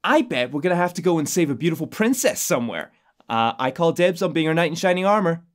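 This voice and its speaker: A man's voice: a little high, expressive, fast, slight background noise, lightly acted. A man playing a cheeky, girl-crazy teenage boy in an amateur anime-style drama. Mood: boastful.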